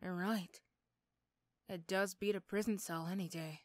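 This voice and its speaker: A sardonic female supervillain, acted by a woman for an ASMR roleplay, recorded close and dry. She is condescending and teasing, mean on the surface with grudging sympathy underneath, in a medium-pitched voice. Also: steady tone, measured pace, no echo, natural voice. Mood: dry, skeptical. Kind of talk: ASMR roleplay character acting.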